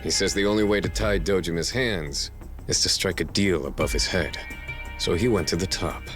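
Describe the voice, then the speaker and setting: A man's voice: low-pitched, normal speed, fairly bright tone, soft background music. A man playing a sly, confident fixer loyal to his boss in an English video game dub, speaking in a casual, coy way. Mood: grave, firm.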